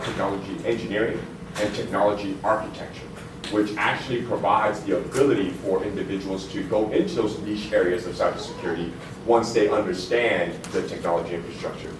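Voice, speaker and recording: Deep-voiced man with a big voice. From San Antonio, Texas. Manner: big, forceful voice, apologetic. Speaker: community attendee speaking up. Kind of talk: town hall comments. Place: town hall meeting room. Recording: echoey room from far off, noisy.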